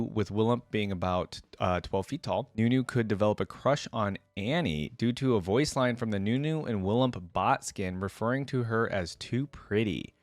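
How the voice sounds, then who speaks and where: A man's voice: medium pitch, dry recording. A man hosting a casual reaction video, reading reference text aloud and adding easygoing asides of his own. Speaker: host of a game lore reaction channel.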